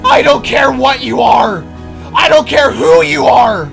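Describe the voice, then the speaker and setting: A man's voice: very high-pitched, unhurried, creaky, music in the background, voice pushed up, full character voice. A man voicing an anguished, enraged spark, a grieving father screaming in sorrow and fury in a fantasy audio story. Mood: furious, despairing.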